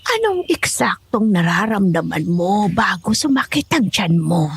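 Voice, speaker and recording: Casting: actress as assured village healer woman, solemn, deeply rasping voice, unhurried delivery, horror drama. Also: very animated, exaggerated voice. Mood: grave, assured. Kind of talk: acted horror audio drama.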